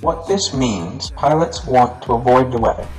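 A man giving a clear-worded explanatory narration about his work. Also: mid-range voice, lively intonation, normal speed, over music, rough recording. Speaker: air traffic explainer.

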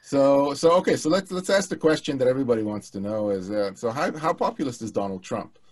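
A man's voice: mid-range voice, expressive. Man on a video call. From Hungary. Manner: halting, many restarts and fillers. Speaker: political scientist, co-host. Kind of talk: casual academic conversation. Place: home studio room. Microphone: headset mic on video call.

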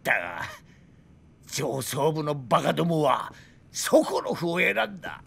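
A man's voice: a little high, huge pitch swings, unhurried, gravelly, a bit bright, husky, exaggerated voice. An actor playing a drunk, bitter old Soviet weapons designer in a video game, boastful and theatrical in his indignant ranting. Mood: bitter, brooding. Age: older. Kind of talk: dramatic video game cutscene acting.

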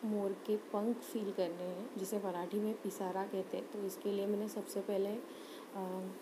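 A woman gives an unscripted step-by-step craft demonstration, hesitant and pausing often. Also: medium pitch, little pitch movement, background noise. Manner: halting, hesitant, with frequent pauses. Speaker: craft tutorial host.

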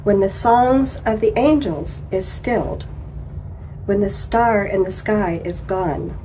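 Older woman reader, mellow dark tone, unhurried poem recitation, faint room noise. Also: mid-range voice, poor audio. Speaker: congregation member, reader. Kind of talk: reading a devotional poem aloud. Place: living room.

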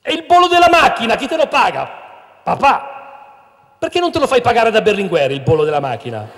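A man voicing an exasperated middle-aged father who yells at his son, indignant and arm-waving, on a stage with a handheld mic. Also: sits high, sing-song, fairly quick, pitched above the performer's own voice, exaggerated voice. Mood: furious. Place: stage in a large hall. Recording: long hall reverb.

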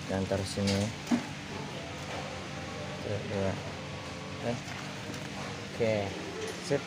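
A man talks in a relaxed way to viewers while he works, counting things off aloud. His voice sits a little low. He is recorded outdoors in a concrete yard on a phone held in his hand. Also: drawn-out words, very noisy. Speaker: betta fish breeder vlogger. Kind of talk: casual hands-on vlog narration. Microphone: handheld phone camera, filmed first-person.

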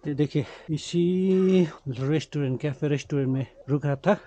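Slow, high-voiced man vlogging outdoors. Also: mellow, no room sound. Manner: mixes English words and phrases into speech. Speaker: travel vlogger. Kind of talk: casual vlog narration to camera while trekking. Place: outdoors on mountain roads. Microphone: camera held at arm's length. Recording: slightly dull, muffled camera audio.

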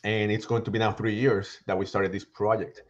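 A man, somewhat low-voiced, speaking in an animated way with lots of fillers and self-corrections. His voice comes through a headset on a video call. The sound is a bit dull and lo-fi. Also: brisk. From Puerto Rico. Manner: animated, frequent fillers and restarts. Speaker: guest author and baseball writer. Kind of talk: casual video call interview. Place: home room. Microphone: headset microphone on video call. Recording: slightly dull, lo-fi call audio.

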